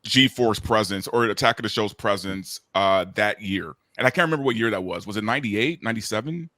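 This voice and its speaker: A man's voice: on the low side, fairly quick, pushed and bright, dry recording, studio quality. A man chatting casually as a guest on a panel show. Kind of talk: casual chat.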